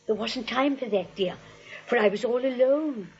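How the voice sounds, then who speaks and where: An actress playing a sweet, dotty elderly aunt in a 1940s radio comedy, genteel and fussy, on a vintage recording. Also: female voice, a little high, normal speed, highs cut off, soft background music, lightly acted. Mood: genteel, cheerful.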